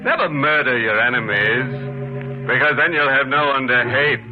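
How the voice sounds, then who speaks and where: A man's voice: mid-range voice, moderate pace, close to an everyday voice. An actor playing a gleefully macabre 1950s horror radio host, mocking and savoring his words. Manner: mocking, punning, savoring each word. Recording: muffled old radio broadcast, music behind.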